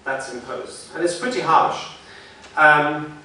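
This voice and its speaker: A man, a phonetician, giving a conversational conference talk, thinking aloud with frequent pauses. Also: fairly high pitch, bright, forceful tone. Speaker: symposium speaker, phonetician. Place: large lecture room. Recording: very echoey hall, mic far off.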